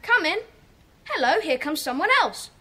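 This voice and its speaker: Bossy, high-voiced schoolboy leader. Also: female voice, big pitch swings, background noise. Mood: brisk, bossy. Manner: brisk, commanding. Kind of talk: children's audiobook dramatisation.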